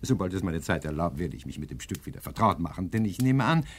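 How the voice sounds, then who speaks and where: An actor playing a pompous, exacting scientist-detective in a German radio crime drama, pedantic and condescending. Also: male voice, low-pitched, big pitch swings, fast talker, a little hiss or hum. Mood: self-satisfied, lecturing.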